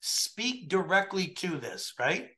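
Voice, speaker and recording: Emphatic man coaching, bright voice. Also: high voice, sing-song, takes it slowly. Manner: emphatic, keeps checking in with "right?". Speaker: trucking compliance coach. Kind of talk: coaching review over a video call. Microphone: webcam video call.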